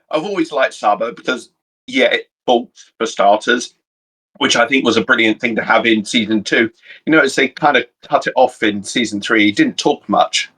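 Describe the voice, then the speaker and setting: A Cornish-accented man co-hosting a relaxed chat over a video call. His voice sits at an ordinary male pitch, and he rambles with hesitant fillers and restarts. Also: poor audio. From Cornwall, England. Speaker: co-host. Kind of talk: casual podcast chat.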